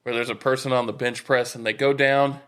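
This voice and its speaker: A man's voice: mid-range voice, fairly even intonation, a little fast, a bit bright, smooth. A man co-hosting a podcast in casual banter, teasing and needling his partner with exclamations. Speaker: podcast co-host.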